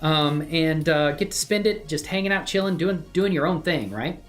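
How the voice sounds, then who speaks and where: A woman's voice: deep voice, moderate pace, soft background music. A woman hosting a casual livestream, chatting to viewers in a friendly, exclamatory way. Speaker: live streamer hosting own channel. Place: indoors at a computer.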